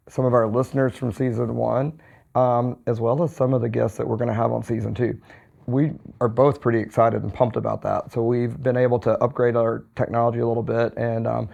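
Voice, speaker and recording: A hoarse man recovering from a cold, co-hosting a podcast in a relaxed, rambling way. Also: mid-range voice. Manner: relaxed, rambling with many fillers and restarts. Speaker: podcast co-host, physician. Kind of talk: casual podcast chat. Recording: slightly dull lo-fi sound.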